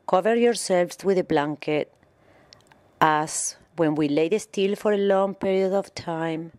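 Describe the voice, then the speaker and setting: Slow, low-pitched woman guiding meditation. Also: a little mellow, no echo. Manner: slow, measured, instructional phrasing with pauses. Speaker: yoga instructor and meditation guide. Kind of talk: guided meditation narration.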